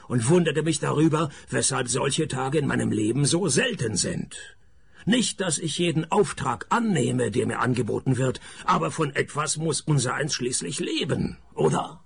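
Casting: sardonic radio detective, gravelly, animated pitch swings, deadpan wit. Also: male voice, normal speed. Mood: wry narration. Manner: deadpan, sarcastic. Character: wry, world-weary male private detective. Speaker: lead actor and narrator. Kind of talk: radio detective comedy acting and narration.

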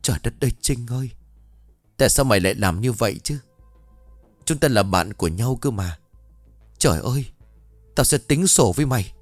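A man voicing an older village aunt, blunt and gossipy, who talks in a hushed, confiding way and rushes through her gossip. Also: lowish voice, animated, no room sound, faint music behind. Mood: outraged.

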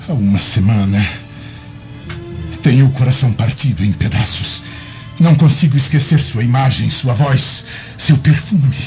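Man playing mellow, courtly Roman governor. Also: mid-range voice. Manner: ornate, earnest, theatrical. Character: courtly, lovestruck Roman governor, smooth seducer. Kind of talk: radio drama acting. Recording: dull lo-fi audio with music.

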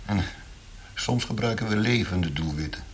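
An actor playing a menacing, mocking interrogator of a brutal regime in a radio play, sneering and theatrical, swinging from quiet threat to ranting. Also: male voice, fairly low pitch, expressive, moderate pace, slight background noise, lightly acted. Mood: sneering menace. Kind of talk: radio drama acting.

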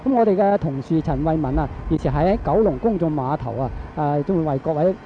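Halting Hong Kong radio man, muffled recording. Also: a little high. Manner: halting, with frequent drawn-out filler particles. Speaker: radio reporter. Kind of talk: live radio news commentary. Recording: dull, noisy archival radio broadcast.

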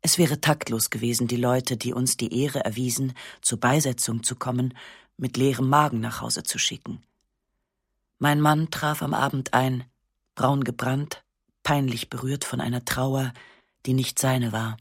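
A woman narrating a literary text in the first person, with a measured, restrained and sober delivery on a close studio microphone. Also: extremely low pitch, fairly even intonation, breathy edge, dry recording. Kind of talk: literary reading of a memoir-like novel.

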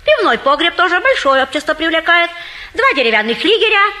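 An actress playing a garrulous, wily older matchmaker in a classic comedy audio play, with folksy, emphatic sales patter. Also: female voice, extremely high pitch, sing-song, fairly quick, sharp tone, heavily acted. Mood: boastful selling.

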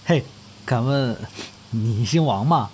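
A man playing an eager, chatty young crosstalk comedy hopeful in a Chinese audio drama, speaking colloquial with expressive delivery. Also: medium pitch, slow pace, a little hiss or hum. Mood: teasing, keen.

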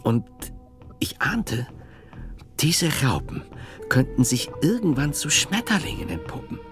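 A solemn, slow-speaking medieval monk. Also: male voice, fairly high pitch, huge pitch swings, fairly bright tone, exaggerated voice. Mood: awed, rapt. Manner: deliberate, solemn, rapt storytelling. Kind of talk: German radio drama acting, literary monologue. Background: music.

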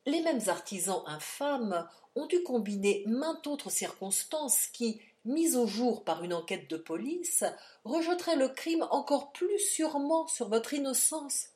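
A woman reading aloud and voicing an old male doctor who lectures in a forceful, emphatic, scornful way. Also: fairly high pitch, voice pushed up.

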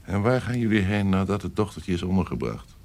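An actor playing a scheming, lecherous courtier in an audio period drama, insinuating and savouring his words, often dropping to hushed tones. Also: expressive, a little fast. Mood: evasive. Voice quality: deep, gravelly. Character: scheming, lecherous male courtier and secret conspirator. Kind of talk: audio drama acting in Dutch period piece.

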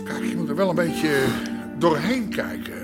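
An actor with an Amsterdam accent playing a blunt, coarse-spoken old-style underworld boss in a radio play, with music underneath. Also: male voice, a little high, moderate pace, natural voice. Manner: gruff, coarse-spoken, easily flares into cursing. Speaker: brothel and club owner.

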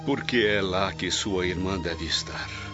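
A man's voice: sits low, measured pace. A Brazilian man playing a solemn, yearning old Roman patrician in a radio drama. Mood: resolute. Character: anguished, devout old Roman patrician father. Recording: dull audio with music behind.

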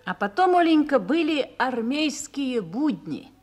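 A mature army wife in a radio play. Her voice is slightly high, reflective and confiding. She tells her memories with reassuring conviction. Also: big pitch swings, unhurried, a little mellow, faint music behind. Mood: thoughtful, persuasive. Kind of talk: Russian radio drama acting.

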